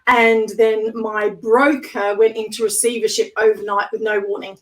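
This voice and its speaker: Chatty woman, slightly hoarse. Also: a little high, flat delivery, moderate pace, far from the mic, rough recording. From Australia. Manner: chatty, laughs often, many ums. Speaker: interview guest, entrepreneur. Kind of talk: casual video-call interview.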